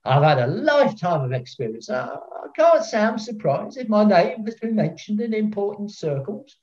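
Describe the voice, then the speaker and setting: An actor playing a pompous, eccentric veteran football referee, theatrical and self-righteous, on a video call. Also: male voice, high-pitched, very animated, normal speed, a little mellow. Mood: defensive. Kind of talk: filmed comedy acting on a video call. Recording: dull, lo-fi video call audio.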